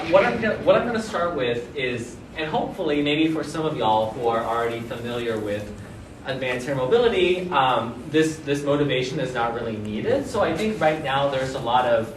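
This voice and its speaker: A man's voice: medium pitch, animated, moderate pace. Visiting researcher, man, casual lecture style, frequent "right?", echoey room with faint noise behind. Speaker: visiting researcher giving a seminar. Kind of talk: academic seminar talk. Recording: echoey room, mic at a distance.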